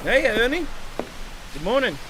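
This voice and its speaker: Excitable deckhand, high animated voice, chatty, hot-tempered, audio drama, water noise behind. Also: male voice, a little slow, exaggerated voice. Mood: chirpy, curious. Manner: chatty, indignant, exclamatory.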